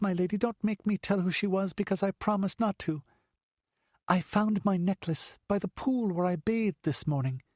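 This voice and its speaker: A man's voice: high voice, darkish tone, no room sound, studio quality, pitched above the performer's own voice, natural voice. A man putting on a timid little girl. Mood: pleading. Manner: breathless, pleading.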